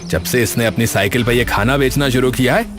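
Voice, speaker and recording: A man's voice: medium pitch, fairly quick, over music, lightly acted. Man voicing a jealous, grumbling village shopkeeper. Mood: bitter, scheming. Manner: bitter, grumbling.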